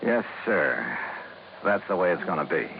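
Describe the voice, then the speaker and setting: An actor playing a wry hardboiled insurance investigator in a 1950s radio drama, speaking in a clipped way. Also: male voice, low voice, sing-song, measured pace, creaky, full character voice. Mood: sober. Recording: muffled vintage radio recording with music.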